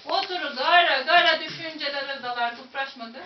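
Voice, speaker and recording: A woman's voice: high-pitched, moderate pace. Woman storyteller, Central Anatolian accent. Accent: Central Anatolian Turkish. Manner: lively, dramatic, addresses listeners directly. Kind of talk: folk tale storytelling. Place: museum room. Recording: echoey room, distant, dull, faint noise.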